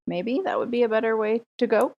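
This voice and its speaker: A woman's voice: on the high side, a little fast, creaky, muffled recording. Woman thinking aloud at a game table. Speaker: player in a tabletop RPG. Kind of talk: casual game-table chat.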